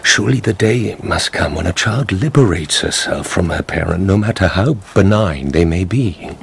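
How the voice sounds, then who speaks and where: Radio drama lead: aristocratic count, deep register, cool, guarded, impeccably courteous. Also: male voice, animated, vocal fry, a little hiss or hum. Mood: calm, guarded. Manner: measured, formal, reserved.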